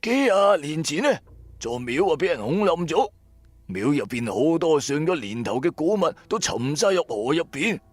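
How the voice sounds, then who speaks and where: A man's voice: a little high, sing-song, normal speed, no echo. A man voicing a sly old blind fortune-teller, a preachy mystic with a theatrical, persuasive manner, in an audio drama. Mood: ominous, persuasive. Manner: theatrical, persuasive, self-righteous.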